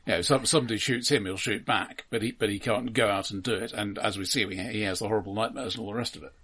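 A man co-hosting a casual film discussion, explaining haltingly and often repeating words as he goes. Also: fairly low pitch, pushed and bright, breathy edge. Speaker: film podcast host.

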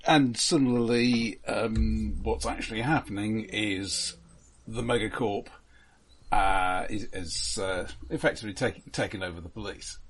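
A man co-hosting a casual film discussion podcast, halting as he talks and often stammering over repeated words. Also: lowish voice. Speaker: podcast co-host.

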